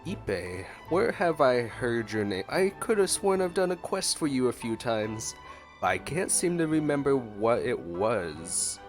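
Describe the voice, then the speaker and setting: A man's voice: mid-range voice, very animated, unhurried. A man giving casual, playful reactionary commentary as he streams a game. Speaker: gameplay streamer. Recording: game music behind the voice.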